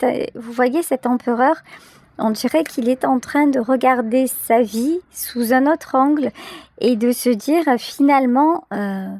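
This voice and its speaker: A woman giving a tarot reading straight to the listener, reflective and pausing to search for her words. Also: a little high, warm tone, no room sound. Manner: hesitant, reflective, searching for words with pauses and repetitions. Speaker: tarot reader, channel host. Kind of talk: intuitive tarot reading addressed to the viewer.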